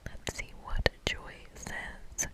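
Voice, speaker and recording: A woman narrating a game in ASMR style, whispering in a playful, informal manner. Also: extremely slow. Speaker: ASMR creator.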